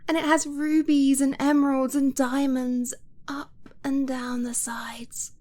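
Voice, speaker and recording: A woman voicing an affectionate young dragon-blooded girlfriend in an ASMR romance roleplay, soft and breathy, often near a whisper, tender and confiding. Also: high voice, level tone. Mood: playful, bragging. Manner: tender, unhurried, giggly, confiding.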